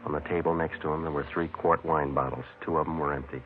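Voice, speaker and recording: An actor playing a terse police detective in a 1950s radio crime drama, clipped and deadpan. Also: male voice, very deep voice, fast, slight background noise. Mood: matter-of-fact. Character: terse, businesslike police detective who narrates his case. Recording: muffled vintage radio recording, music behind.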